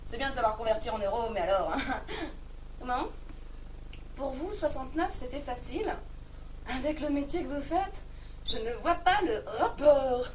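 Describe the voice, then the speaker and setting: A woman performer playing an excitable, indignant, naive woman in a theatrical one-woman comedy monologue, exclaiming melodramatically and repeating her words. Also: high voice, moderate pace. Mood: exasperated. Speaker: comic stage performer. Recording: distant mic, noisy live room recording.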